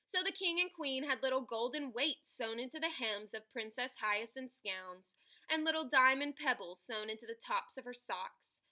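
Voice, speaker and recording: A woman reading a children's picture book aloud in an animated, dramatic way, stretching key words for emphasis. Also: a little high, moderate pace. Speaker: read-aloud storyteller.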